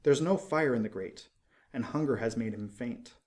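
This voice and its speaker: A man playing a gentle, sorrowful statue of a prince in a storybook, speaking in a slow, solemn, pleading way. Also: medium pitch, natural voice. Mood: compassionate.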